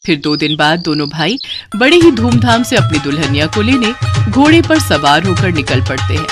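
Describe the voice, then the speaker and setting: A woman's voice: lowish voice, very animated, moderate pace, music in the background. Woman narrating a scripted cartoon story.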